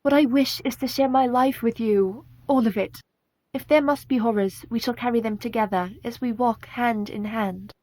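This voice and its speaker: An actress playing a devoted, steadfast young Victorian wife in a radio play, formal and tender. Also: female voice, on the high side, steady tone, normal speed, dark tone, no echo, lightly acted, low-quality recording. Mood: tender, resolute.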